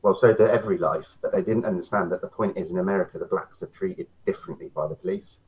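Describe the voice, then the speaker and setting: A man's voice: deep voice, expressive, quick pace, background noise. A man talking as a guest in casual chat, often repeating words as he starts. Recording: dull lo-fi sound.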